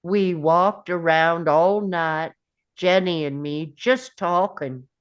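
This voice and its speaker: Woman as simple gentle young man, earnest, slow pace, lowish voice, table-read drama. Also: clean tone. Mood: wistful narrating.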